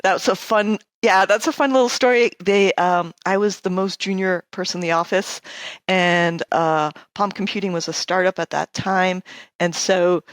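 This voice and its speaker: A woman's voice: fairly low pitch, fairly even intonation, moderate pace, no echo. A woman in her fifties from Canada, a guest architect who tells stories in a chatty, self-deprecating way. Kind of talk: casual interview chat.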